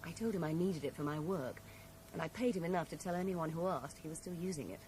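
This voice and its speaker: Woman acting a cold ambitious female conspirator, fairly low voice, defiant, composed, hissy old radio play. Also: fast talker, close to an everyday voice. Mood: cool, defiant. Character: cool, ambitious, unapologetic female accomplice hungry for power. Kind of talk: 1980s radio drama acting. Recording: noisy, hissy old radio broadcast recording.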